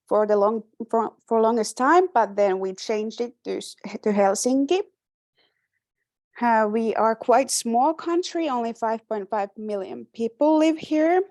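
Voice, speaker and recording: Young finnish woman presenting slides, slow and halting, lots of ums, dry close sound. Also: mid-range voice, darkish tone, no echo. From Finland. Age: 20s. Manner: hesitant, many fillers and restarts, light laughter.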